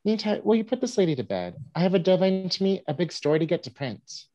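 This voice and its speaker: Guy acting wry old-west newspaperman, mellow, swoopy delivery, florid storytelling, bit muffled call audio. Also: high voice, big pitch swings, fast. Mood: concerned. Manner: wry, droll, ornate phrasing. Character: Sam Clemens, wry boastful frontier newspaperman telling tall tales. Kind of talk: staged reading, storytelling monologue. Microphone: video call.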